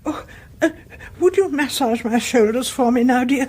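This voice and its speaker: An English actress playing a frail, stout older neighbour in a radio drama, genteel, coy and gently needling. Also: female voice, high-pitched, moderate pace, slight background noise, natural voice. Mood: relieved, indulgent.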